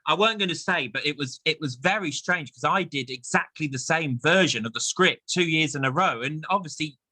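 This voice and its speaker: An English man with a high-set, very bright voice that swings animatedly as he hosts a chat show. He is effusive and encouraging, and the sound is close and dry. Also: fairly quick, dry recording, rough recording. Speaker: host of a theatre chat show. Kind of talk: chatty interview and quiz hosting.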